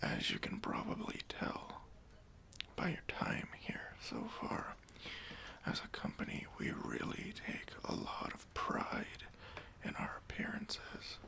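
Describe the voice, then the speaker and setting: A man playing a self-important, demanding boss at a job interview in a whispered ASMR roleplay, talking in a condescending, halting way. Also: pushed and bright. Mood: smug, appraising. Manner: pompous, condescending, halting with drawn-out pauses.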